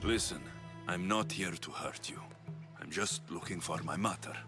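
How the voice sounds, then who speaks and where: Man playing a low-voiced, blunt young mercenary hero. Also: normal speed, over music, natural voice. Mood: determined. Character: bold young male Spartan-born mercenary, direct and determined. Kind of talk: cinematic video game acting.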